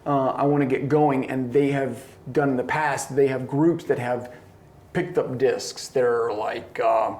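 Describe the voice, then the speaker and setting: A middle-aged man who grew up in Colombia talks in a relaxed, conversational way as a sports coach. His delivery is enthusiastic, with an average-pitched voice. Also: measured pace. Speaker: disc golf coach. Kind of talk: casual chat.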